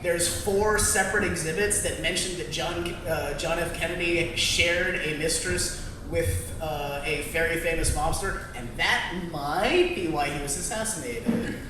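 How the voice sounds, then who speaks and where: A young man doing a stand-up set, delivering dry jokes with many halting fillers. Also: a little high, recorded from a distance, background noise. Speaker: stand-up comic. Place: small comedy club. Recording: echoey room, mic heard from afar. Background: crowd ambience and laughter.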